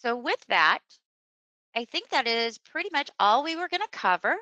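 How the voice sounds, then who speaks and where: A woman presenting a webinar as a software trainer, explaining a screen demonstration step by step in an unscripted way. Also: fairly high pitch, very animated, normal speed, no echo, lo-fi sound. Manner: instructional, step-by-step.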